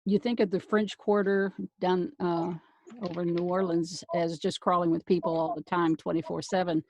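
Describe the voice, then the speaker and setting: Lowish-voiced woman with dry sound. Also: little pitch movement, normal speed, a little mellow, no echo, low-quality recording. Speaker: voice actor. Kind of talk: casual chat. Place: group video call.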